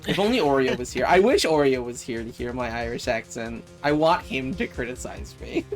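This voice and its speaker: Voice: medium pitch, lively intonation, moderate pace. A streamer chatting casually during a game stream, playful and teasing, with faint music behind. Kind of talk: casual gaming stream chat.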